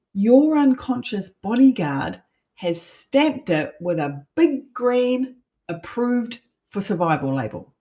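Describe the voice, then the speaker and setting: A woman's voice: medium pitch, a little mellow. A middle-aged woman with a New Zealand accent, a coach teaching an online masterclass in an emphatic, persuasive way with rhetorical questions.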